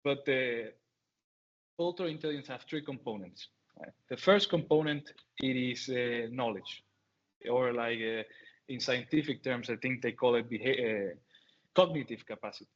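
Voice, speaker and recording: A man's voice: mid-range voice, normal speed. Venezuelan man with a Spanish accent, hesitant talk, full of fillers and restarts, muffled video call sound. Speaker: guest speaker. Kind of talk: online talk.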